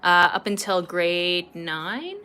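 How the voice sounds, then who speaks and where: A woman in her late twenties from Canada, chatting casually with viewers while painting on a livestream, rambling a little and filling pauses with ums. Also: fairly low pitch, expressive, sharp tone, smooth. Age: late 20s. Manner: chatty, rambling, laughs often, many ums. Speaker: art livestream host.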